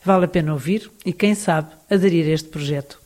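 A woman's voice: fairly low pitch, brisk, a little mellow. A woman with a European Portuguese accent, hosting an interview and putting questions to her guest. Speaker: interviewer, show host.

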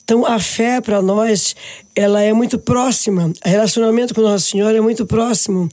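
A woman's voice: on the high side, steady tone, a little fast. A woman from Pará with a Brazilian accent, a guest in an interview, telling stories about her faith with feeling and many restarts. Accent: Brazilian Portuguese. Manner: warm, devout, emotional storytelling with many restarts. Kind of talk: casual interview talk.

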